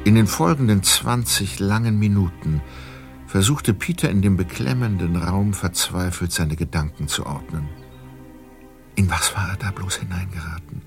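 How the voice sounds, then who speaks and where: A male narrator reading scripted narration for a radio play in a grave, measured way. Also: low voice, soft background music.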